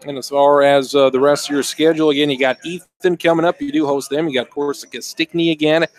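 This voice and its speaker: A man's voice: on the high side, fairly even intonation, brisk. A man hosting a sports radio show, asking conversational, leading questions.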